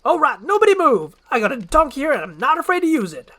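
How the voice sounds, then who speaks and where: A performer voicing a brash, excitable, wisecracking male donkey sidekick from a cartoon. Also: sits high, quick pace, pitched above the performer's own voice, exaggerated voice. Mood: manic, blustering.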